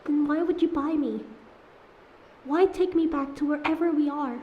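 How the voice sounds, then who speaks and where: A woman playing a young captive mermaid, proud but wounded and wary, speaking haltingly and emotionally in a fantasy audio drama. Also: sits high, mellow, lo-fi sound, close to an everyday voice. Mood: hurt, accusing. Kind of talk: scripted fantasy audio roleplay. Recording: echoey tank-like reverb, faint ambience noise.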